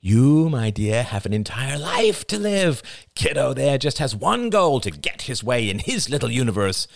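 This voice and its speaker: A man's voice: medium pitch, sing-song, normal speed, creaky, dry recording, studio quality, pitched above the performer's own voice. A man voicing the nagging inner voice of a stern mother, urging and insistent.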